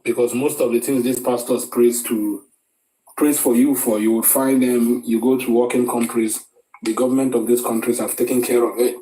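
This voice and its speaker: Nigerian man, mellow voice, halting delivery. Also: medium pitch, poor audio. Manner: frequent hesitations and repeated words. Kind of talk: opinionated commentary.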